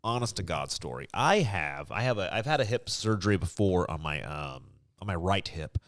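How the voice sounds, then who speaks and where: An American man hosting a comedic podcast in a casual, joking and theatrically expressive way. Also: low-pitched, moderate pace, no echo, clean studio sound. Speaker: podcast host.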